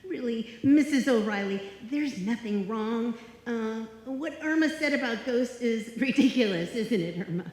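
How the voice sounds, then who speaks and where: A woman's voice: a little high, animated, a little slow, hardly any pauses, darkish tone. A woman playing a sensible, wry, exasperated roommate in live old-time radio comedy style. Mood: nervous. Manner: wry, sarcastic. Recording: echoey hall, live stage performance.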